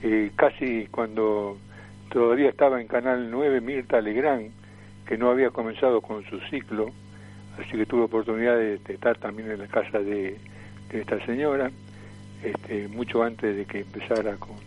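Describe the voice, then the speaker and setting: An elderly man with an Argentine accent reminiscing as a radio guest over the phone, in a halting way that repeats phrases. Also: on the low side, steady tone. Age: 70s or older. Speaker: interview guest, veteran caricaturist and actor. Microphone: telephone. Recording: tinny, noisy phone line.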